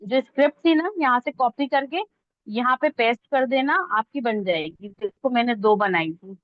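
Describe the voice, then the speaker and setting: Female online tutor, higher-pitched voice, informal and conversational step-by-step teaching, slightly muffled lo-fi recording. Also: normal speed, dry recording. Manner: conversational, checks that listeners follow. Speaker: instructor teaching reel editing online. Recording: dull lo-fi close dry audio.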